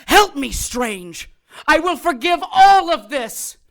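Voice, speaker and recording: Woman acting as high voiced evil wizard guy, audio drama, slow gloating taunts, very swoopy. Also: sing-song, fairly bright tone, exaggerated voice. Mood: taunting. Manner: theatrical, taunting, megalomaniac. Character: gloating, scheming immortal male sorcerer villain.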